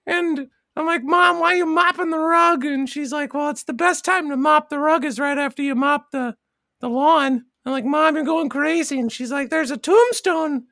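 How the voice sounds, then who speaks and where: An odd little Boston boy, played by a woman, speaks in a piercingly high, bright voice. He makes childish, simple statements with a straight-faced silliness, in an improvised comedy sketch with a dry, close sound. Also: moderate pace, no room sound. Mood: bewildered. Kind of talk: improvised comedy character interview.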